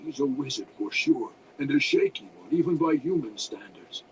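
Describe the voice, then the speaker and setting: A man voicing a gruff, suspicious deep gnome warden in an audiobook, resonant and terse. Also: lively intonation, moderate pace, slight background noise, natural voice, low-quality recording. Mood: wary warning. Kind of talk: audiobook character voice.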